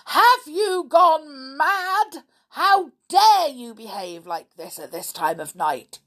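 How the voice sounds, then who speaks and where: A woman's voice: sits high, sing-song, takes it slowly, fairly bright tone, pitched above the performer's own voice, full character voice. A woman voicing a fierce, hot-tempered stepfather in a storybook, barking indignant commands. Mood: outraged.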